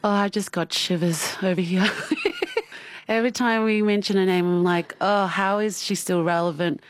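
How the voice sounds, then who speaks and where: A woman's voice: medium pitch, fairly even intonation, normal speed. A woman in her thirties with an Australian accent, speaking candidly as an interview guest. She laughs often and restarts her sentences. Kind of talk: personal interview.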